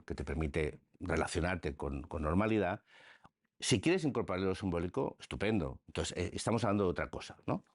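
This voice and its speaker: Middle-aged man, emphatic politician who restarts often; low voice, lively swings. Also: no room sound, clean studio sound. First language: Spanish. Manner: repetitive restarts, emphatic rhetorical questions. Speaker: interviewed politician. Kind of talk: political radio interview.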